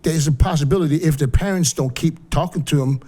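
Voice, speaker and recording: A man hosts a casual podcast and greets his guest in a friendly way. He asks loose, chatty questions in a voice pitched a little above the usual. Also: brisk, warm tone. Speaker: podcast host.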